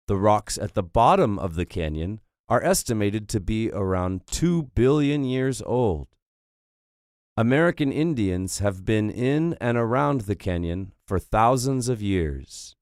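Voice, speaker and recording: A man narrating English textbook listening tracks in a slow, clearly spoken way. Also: lowish voice, a little mellow, no room sound, clean studio sound. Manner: slow, clearly articulated announcing.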